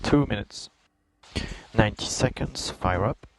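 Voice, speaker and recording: A man's voice: lowish voice, a little slow, slight background noise, rough recording. A man race engineer calling out a countdown in short phrases over team radio.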